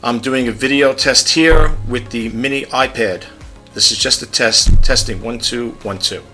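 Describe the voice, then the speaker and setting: A man vlogging a casual tech demo at his home desk, chatty and rambling, in a low-key, fairly monotone voice. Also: normal speed, soft background music. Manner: chatty, rambling, demonstrating gadgets. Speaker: YouTube vlogger.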